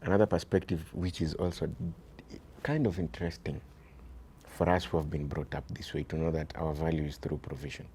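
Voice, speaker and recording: A man with a Kenyan accent and a very deep voice, talking earnestly as an interview guest about raising his sons, often dropping "you see" and shifting into Swahili. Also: fairly even intonation, brisk, mellow, slight background noise. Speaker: guest, father of boys. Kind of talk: reflective conversational interview.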